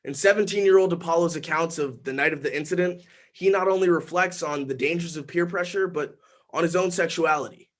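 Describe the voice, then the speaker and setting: A man narrating in a measured, formal documentary style. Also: fairly high pitch, lightly acted.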